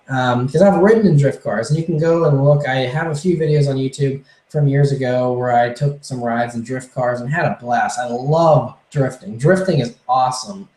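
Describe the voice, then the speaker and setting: A man answering viewer questions on a casual livestream, rambling and relaxed with many fillers, his voice a little hoarse. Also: medium pitch, echoey room, low-quality recording. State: slightly hoarse, losing his voice.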